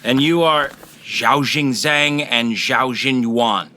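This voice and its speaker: An actor playing an officious, bigoted male immigration officer in a comic audio play, condescending and deadpan. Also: medium pitch, slow pace. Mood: curt, suspicious. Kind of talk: comedic audio drama acting.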